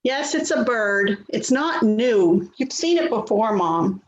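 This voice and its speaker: A woman's voice: a little high, lively intonation, takes it slowly. A woman playing an exasperated, worrying adult daughter in a readers theater play, nagging and put-upon, recorded in an echoey room. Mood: weary, defensive. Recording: echoey room, dull sound, faint noise.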